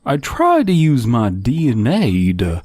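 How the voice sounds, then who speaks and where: Cartoon dog dad: mellow, swooping, hesitant and sad, dim but devoted. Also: male voice, medium pitch, huge pitch swings, unhurried. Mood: sheepish, sorrowful. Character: cartoon dog father, dim, sorrowful, attached to his monstrous son.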